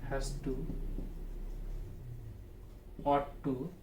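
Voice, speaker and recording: A man's voice: mid-range voice, flat delivery, extremely slow. A man with a Bangladeshi accent and Bengali as first language, giving an instructional online class as a teacher, repeating key terms and checking understanding. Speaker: English grammar teacher. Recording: echoey room, distant mic, faint noise.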